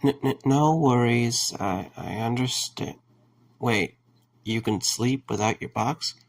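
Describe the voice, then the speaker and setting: A concerned, wry young man, acted by a man in an audio drama. His voice is medium in pitch with heavy fry, and he talks in a halting, low-key manner. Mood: groggy, wry.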